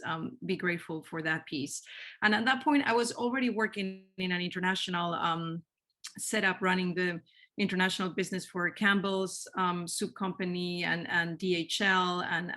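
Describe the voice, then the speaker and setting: A woman's voice: lowish voice, pushed and bright. A woman in her forties from Spain, a marketing executive answering interview questions earnestly, often repeating words as she restarts. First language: Spanish. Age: 40s.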